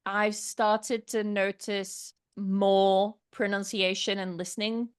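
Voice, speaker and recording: A woman in her thirties from England, a pronunciation teacher chatting as an interview guest with animated storytelling and lots of fillers. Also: mid-range voice, slow, clean tone. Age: 30s. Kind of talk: casual interview chat.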